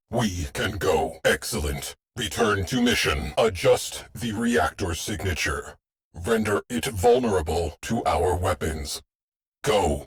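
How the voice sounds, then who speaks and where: A man voicing a terse, dry shipboard AI tactician in a video game, speaking in clipped, deadpan military phrases. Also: deep voice, exaggerated voice. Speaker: mission control voice. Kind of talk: scripted game voice lines.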